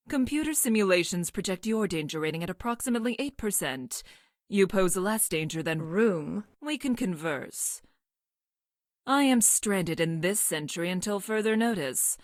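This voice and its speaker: A woman's voice: medium pitch, dry recording, studio quality, natural voice. A woman voicing a clinical, awkwardly smitten female scientist-assassin from the future in a video game, speaking in a stilted, formal way with precise diction. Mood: detached, clinical. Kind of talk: video game character voice lines.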